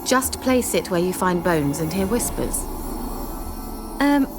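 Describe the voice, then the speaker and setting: An actress playing a haughty, sly sorceress in a video game, speaking in articulate, formal, dryly condescending phrases. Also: female voice, on the low side, very animated, slow. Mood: brisk, matter-of-fact. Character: haughty, sly young sorceress posing as village witch. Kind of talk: dramatic video game dialogue. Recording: game music under the voice.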